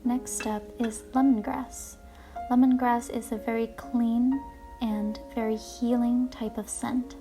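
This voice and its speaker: High-voiced woman guiding relaxation over music. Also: level tone, takes it slowly, warm tone. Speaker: guided relaxation host. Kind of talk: soothing guided relaxation narration. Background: music playing behind the voice.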